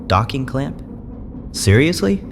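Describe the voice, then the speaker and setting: A man playing an earnest, bewildered young space adventurer in an audio drama, halting and incredulous. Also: medium pitch, sing-song, over music, heavily acted. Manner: halting, incredulous, stammering questions. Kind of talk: full-cast audio drama acting. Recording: clear noise bed behind the voice.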